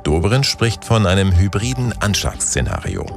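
A man reading a radio news bulletin in a calm, measured, formal way. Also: low-pitched, vocal fry, music in the background. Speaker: newsreader.

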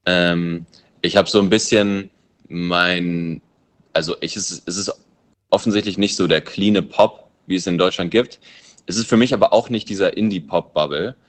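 A very low-pitched man whose first language is English talks about his music in a relaxed interview. He muses and thinks aloud, circling back on his points. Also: normal speed. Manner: loose, musing, thinks aloud. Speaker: musician and producer. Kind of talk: casual interview answers.

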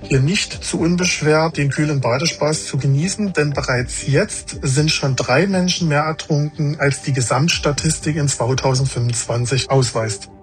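Earnest, higher-voiced male official. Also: moderate pace, hardly any pauses, faint music behind. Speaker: lifeguard association official. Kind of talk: interview clip.

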